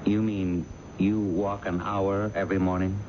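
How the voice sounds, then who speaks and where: A man's voice: sits low, level tone, unhurried. An actor playing a blunt, sceptical police inspector in a radio drama, terse and unruffled as he probes. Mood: probing. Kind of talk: old-time radio drama acting. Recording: dull, noisy old radio broadcast.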